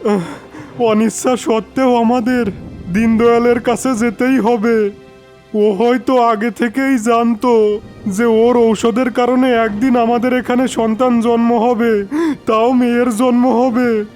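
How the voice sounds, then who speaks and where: A man's voice: very high voice, level tone, slow pace, a little mellow. A man voicing a desperate, worried village father, with music behind. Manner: anxious, pleading.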